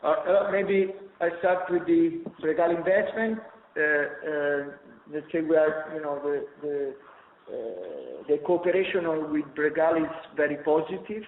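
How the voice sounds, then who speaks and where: Man, executive, halting with fillers, faint room noise, phone-line sound. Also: fairly high pitch. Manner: halting, many fillers and restarts. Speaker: company executive. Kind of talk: earnings call answer. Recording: echoey tinny phone line.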